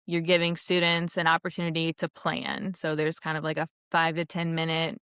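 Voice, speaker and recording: A woman's voice: low voice, monotone, moderate pace, fairly bright tone, clear, resonant tone, no room sound, studio quality. A woman, a former teacher, answering in a conversational interview and explaining things with examples. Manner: explanatory, illustrates with imagined classroom quotes. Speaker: former third grade teacher, podcast guest.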